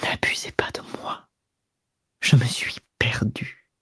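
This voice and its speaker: A man's voice: high-pitched, steady tone, moderate pace, a little mellow, breathy edge, no room sound, studio quality, pitched above the performer's own voice. A man voicing a middle-aged married woman who confesses a secret love in a breathless, tearful whisper. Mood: broken.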